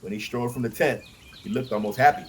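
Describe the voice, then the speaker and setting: A man's voice: medium pitch, fast talker. A man narrating fiction as an audiobook reader, expressively telling the story.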